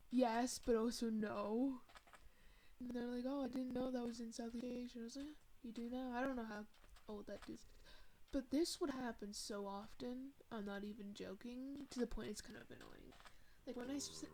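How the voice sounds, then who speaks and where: A woman's voice: a little high, steady tone, moderate pace, mellow, slight background noise, poor audio. A young woman from Thailand streaming a game, telling a story in a chatty, rambling way full of fillers and asides. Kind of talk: casual storytelling while gaming.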